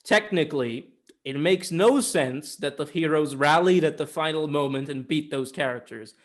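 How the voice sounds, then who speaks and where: Young male, slightly high pitch, casual film talk, co-host, opinionated, emphatic repetition. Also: normal speed. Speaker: film review podcast co-host.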